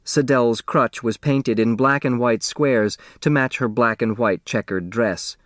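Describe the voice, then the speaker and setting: A man narrating a story as an audiobook reader, expressive and scripted. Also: mid-range voice, measured pace, no echo, studio quality.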